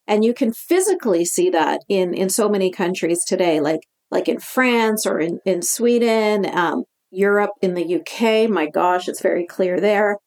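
A woman's voice: mid-range voice, expressive, a little mellow. Emphatic Canadian woman guest. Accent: Canadian English. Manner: emphatic, fast-flowing storytelling. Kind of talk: conversational interview.

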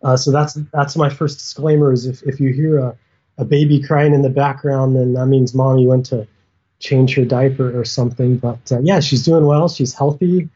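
An easygoing man with a warm, mellow voice and a slightly husky edge, talking as a guest. He comes through a dull, lo-fi remote call and speaks loosely with small hesitations. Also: mid-range voice, moderate pace. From United States. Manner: relaxed, easygoing, some hesitations. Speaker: guest, sailor. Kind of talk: casual interview chat. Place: at home.

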